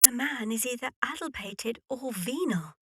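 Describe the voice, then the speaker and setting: A woman's voice: a little high, lively intonation, normal speed, background noise, natural voice. A woman playing a wealthy, self-possessed young lady in a period drama, speaking in a formal, arch and decided manner. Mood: cool, questioning. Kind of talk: period radio drama acting.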